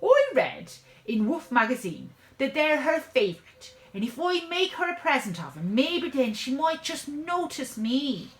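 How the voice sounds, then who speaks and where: Woman doing a scruffy Irish charmer, brightly pitched. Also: sing-song, a little slow, few pauses, roomy sound, full character voice. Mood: scheming, hopeful. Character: scruffy, charming male Irish tinker, lovestruck animal hero.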